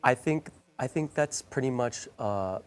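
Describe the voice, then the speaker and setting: A man's voice: medium pitch, expressive, unhurried, clean tone. A man from the Philippines, Tagalog his first language, chatting as a guest singer in an interview, with many fillers and restarts. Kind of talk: casual interview chat.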